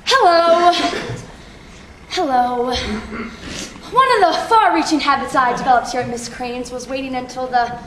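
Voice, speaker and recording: A young woman playing a flustered, insecure student making a halting, self-conscious speech on stage. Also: high voice. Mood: nervous, halting. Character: flustered, insecure schoolgirl giving an unprepared speech. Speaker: student actor. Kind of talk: theatrical stage monologue. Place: theatre workshop performance space. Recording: echoey room from far off, faint noise.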